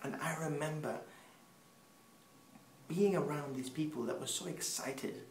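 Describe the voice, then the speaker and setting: A man in his thirties from Peru, with an English accent tinged by Peruvian Spanish, unhurriedly telling a family faith story with pauses and restarts. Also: fairly high pitch, a little hiss or hum. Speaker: speaker sharing family testimony. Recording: roomy sound from a distant mic.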